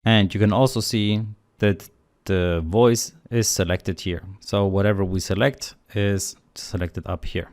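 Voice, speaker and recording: Man, somewhat low voice, coding tutorial narration, step-by-step explanation, casual tone. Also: a little slow. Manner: explains code step by step, relaxed and conversational. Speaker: programming instructor.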